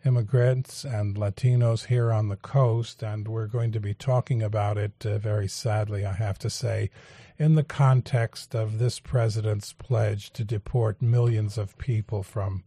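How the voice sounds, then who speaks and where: A male radio talk show host, interviewing guests in a measured, halting way with frequent fillers. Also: lowish voice, steady tone, warm tone, breathy edge, no echo. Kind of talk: public affairs interview.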